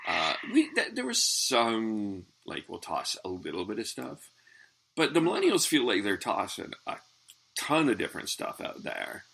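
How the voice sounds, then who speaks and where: A man's voice: fairly low pitch, huge pitch swings, normal speed, gravelly, fairly bright tone, slightly rough, poor audio. A man in his forties hosting a podcast interview, talking in a hesitant way, restarting and hedging his points. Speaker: podcast host. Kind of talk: casual interview chat.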